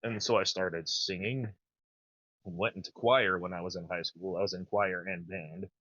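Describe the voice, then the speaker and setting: A man in his thirties with a naturally low voice, chatting casually and enthusiastically as a podcast host. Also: steady tone, moderate pace, sharp tone, slightly rough, no echo, muffled recording. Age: 30s. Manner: rambling, enthusiastic. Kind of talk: casual chat.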